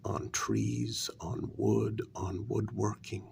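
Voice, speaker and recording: Hushed, gravelly man acting as a wounded male vampire. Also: on the low side, flat delivery, a little hiss or hum, full character voice. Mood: cold, explanatory. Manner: hushed, deliberate, breathy half-whispers with pointed questions. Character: wounded, bitter male vampire confronting his queen. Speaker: ASMR role-play performer. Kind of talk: soft-spoken ASMR role-play, dramatic monologue.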